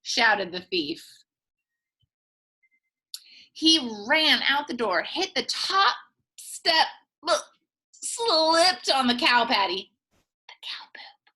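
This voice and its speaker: Female storybook reader, teacher-like, higher bright voice, expressive and playful delivery for young children. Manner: playful, expressive, with whispered asides to young listeners. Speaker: early childhood teacher reading a picture book aloud. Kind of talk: animated children's storybook read-aloud.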